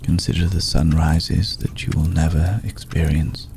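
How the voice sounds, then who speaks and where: Man as a very deep, gravelly vampire lord. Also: flat delivery, darkish tone, slight background noise, heavily acted. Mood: solemn, inviting. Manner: measured, deliberate, solemn, with long pauses. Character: courteous, brooding centuries-old male vampire lord, tender toward a mortal. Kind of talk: slow intimate ASMR roleplay.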